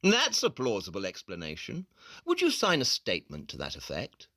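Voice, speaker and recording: An actor playing a haughty, probing detective in an audio play, with precise, pedantic delivery. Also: male voice, fairly low pitch, huge pitch swings, normal speed, pushed and bright, dry recording, studio quality, full character voice. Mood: cold, accusing. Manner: precise, clipped, pedantic interrogation.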